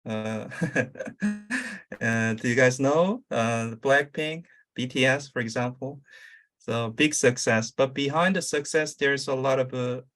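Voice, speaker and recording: A man with a Korean accent speaking English as a second language, a career coach giving an online summit talk, halting and full of fillers. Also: mid-range voice, fairly bright tone. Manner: halting, many uh fillers and restarts. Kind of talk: conference talk over video.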